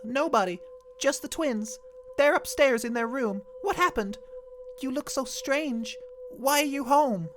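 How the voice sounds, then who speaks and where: A man doing a frightened housewife in falsetto. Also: a little slow, noisy background. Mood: alarmed. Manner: anxious, questioning, high-pitched falsetto. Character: frightened bewildered housewife, adult woman.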